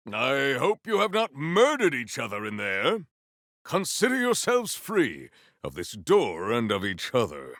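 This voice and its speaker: An actor playing a noble, earnest warrior hero in a video game, speaking in a pompous, formal and grandiose way. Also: male voice, fairly low pitch, fairly bright tone, dry recording, studio quality, full character voice. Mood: solemn, earnest. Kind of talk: video game character voice acting.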